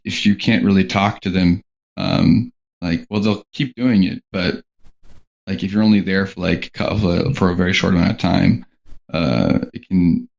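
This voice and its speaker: A man's voice: low voice, vocal fry. A man talking casually on a podcast panel about working in animation, rambling with lots of "like" and "um". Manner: rambling, heavy on "like" and "um" fillers. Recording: dull lo-fi sound.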